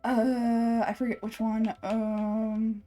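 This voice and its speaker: Female game streamer, high and soft-edged voice, reading lines in character over music. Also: little pitch movement, slow. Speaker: video game streamer voicing every character.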